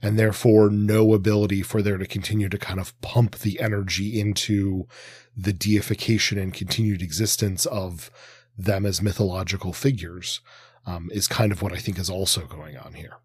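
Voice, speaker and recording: A man co-hosting a literary podcast, analysing in a halting, deliberate way with long winding sentences. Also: low-pitched, fairly even intonation, darkish tone, breathy edge, no room sound. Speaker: podcast co-host.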